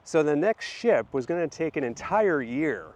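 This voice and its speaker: A man hosting a casual walk-and-talk vlog, his voice hoarse and deepened by a recent illness, rambling with many fillers and restarts. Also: big pitch swings, normal speed. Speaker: channel host.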